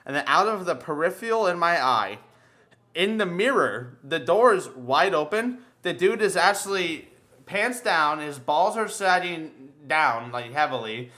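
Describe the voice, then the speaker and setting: A man in casual podcast banter, telling crude stories with a lot of swearing and an animated delivery. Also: a little high, measured pace. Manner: crude, foul-mouthed, animated storyteller.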